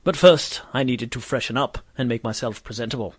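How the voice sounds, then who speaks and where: A man's voice: mid-range voice, a little fast, husky, no room sound, lightly acted. A man playing a grumpy, vain Victorian doctor who narrates his misadventures in a pompous, wordy way for an audio drama. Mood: wry, self-important. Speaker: narrator and lead character. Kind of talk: scripted first-person audio drama narration and dialogue.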